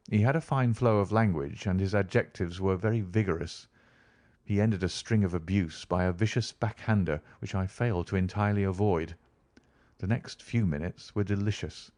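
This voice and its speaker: A man voicing a confident, wry gentleman detective in an audiobook, speaking in an articulate, measured way. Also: fairly low pitch, no echo, clean studio sound, close to an everyday voice. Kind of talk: audiobook character reading.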